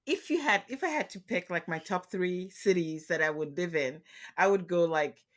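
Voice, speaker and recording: A man's voice: high-pitched, normal speed, a bit bright. A man from Nigeria hosting a podcast interview, chatty and upbeat. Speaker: podcast host. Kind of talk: casual interview.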